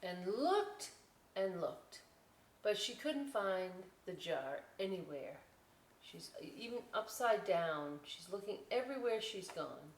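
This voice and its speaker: Female story time host, lowish voice, unhurried, conversational read-aloud with asides for young children. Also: sing-song. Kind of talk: picture-book read-aloud with casual asides. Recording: roomy, distant mic with clear noise.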